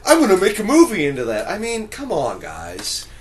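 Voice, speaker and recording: A man's voice: sits high, huge pitch swings, moderate pace. A man on a podcast panel, making casual comments in a group chat.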